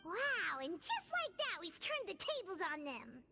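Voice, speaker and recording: A tiny, excitable fairy sidekick from a video game. The voice is extremely high and squeaky, bouncing around with childlike eagerness. Loud music plays behind it. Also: female voice, unhurried, creaky, full character voice. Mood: delighted. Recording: prominent background music under dialogue.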